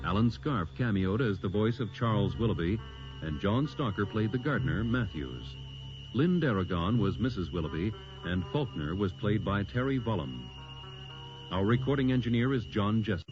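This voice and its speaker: A man announces scripted credits on an old radio broadcast. He is formal and measured, with a fairly low, well-inflected voice. Music plays behind him and the sound is slightly dull. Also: lively intonation.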